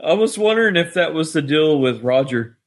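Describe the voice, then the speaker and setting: A man's voice: on the high side, animated, fairly quick. A man talking casually as a podcast co-host, discussing a story with his partner. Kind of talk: casual discussion of an audio drama.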